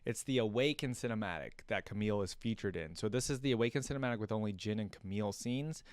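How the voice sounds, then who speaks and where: A man's voice: on the low side, quick pace, clear, resonant tone, no room sound. A man hosting a reaction video, giving informal, enthusiastic fan commentary in a casual chatty style. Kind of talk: casual fan commentary alternating with story read-aloud.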